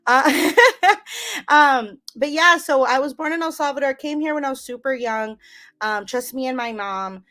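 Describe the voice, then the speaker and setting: A young woman from the United States telling her life story as an interview guest, relaxed and rambling with lots of ums. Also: high voice, a little slow. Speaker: guest, business owner.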